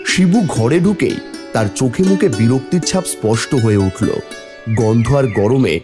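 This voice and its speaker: A man's voice: medium pitch, moderate pace, music in the background. A man narrating a scripted fairy-tale cartoon as its storyteller.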